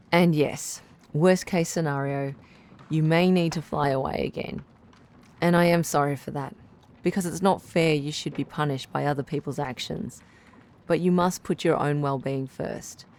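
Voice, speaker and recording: A woman playing a caring, composed radio relationship doctor who gives advice in a measured, articulate, reassuring way. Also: deep voice, darkish tone, close to an everyday voice. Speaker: radio advice show host. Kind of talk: radio drama advice-show acting.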